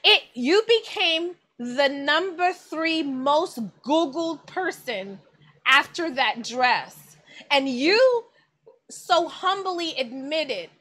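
A woman's voice: high-pitched, animated, extremely slow, a bit bright. A woman hosting an interview over a video call as a magazine's editorial director, speaking in an effusive, admiring way. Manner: effusive, admiring, many exclamations. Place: indoors in front of a plain wall. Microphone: video call webcam.

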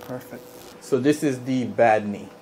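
A man working as a chiropractor, giving a client calm, short, repeated instructions in a soft-spoken way. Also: medium pitch, measured pace. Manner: calm, repetitive short cues. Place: small indoor treatment room. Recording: noisy room ambience.

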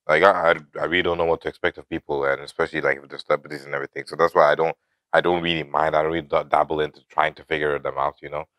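A man's voice: very deep voice, little pitch movement, racing, fairly bright tone, dry recording. A young man in his twenties talking to camera in a loose, rambling vlog, full of "you know" and "like", recorded on a lapel mic indoors in a house. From grew up in Lebanon. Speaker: vlogger.

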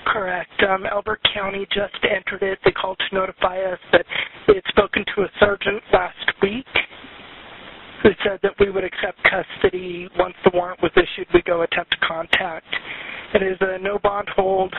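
A woman's voice: mid-range voice, very animated, moderate pace, creaky. A woman working as a sheriff's dispatcher, speaking in a clipped, procedural way over radio and phone. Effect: radio and phone line. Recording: hissy, narrowband dispatch line recording.

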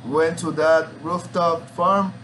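Slow, halting young man presenting. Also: fairly high pitch, steady tone, a bit bright, slight background noise, low-quality recording. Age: young adult. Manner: halting, hesitant, searching for words. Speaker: student. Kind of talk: informal student oral presentation. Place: small room at home, indoors.

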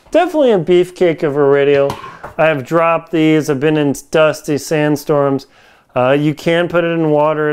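A man's voice: a little high, a little mellow, clean tone. A man in his forties giving a casual, hands-on gear review in informal, folksy wording, recorded indoors on a lapel mic. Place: home kitchen, indoors.

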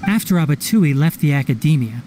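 A man voicing a composed, matter-of-fact young fox-eared scholar in a video game, explaining in an articulate, measured way. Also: fairly high pitch, expressive, background noise, lightly acted. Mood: composed, explanatory. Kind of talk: English video game voice acting.

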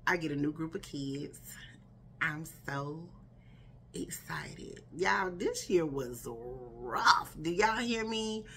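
A woman's voice: fairly low pitch, a little hiss or hum. Chatty woman vlogging at home. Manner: chatty, animated, addresses viewers as y'all and girl. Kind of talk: casual chat to camera. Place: living room at home. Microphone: camera in front at desk distance.

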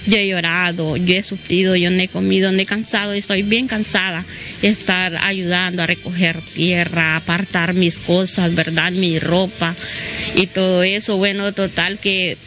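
A woman's voice: lowish voice, flat delivery, moderate pace, bright, forceful tone, faint music behind. A middle-aged woman with a southern Honduran accent giving spontaneous interview testimony, repeating phrases and adding tag questions, into a handheld microphone. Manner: repeats phrases, often adds "¿verdad?". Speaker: local resident being interviewed. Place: at her house, open air. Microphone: handheld reporter microphone held toward her.

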